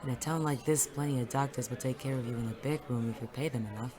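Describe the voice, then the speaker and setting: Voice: mid-range voice, steady tone, fast talker, crowd noise, close to an everyday voice. An actor playing a weary, cynical hard-boiled private detective in a noir audio drama, wry and sardonic. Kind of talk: 1940s noir audio drama acting and narration.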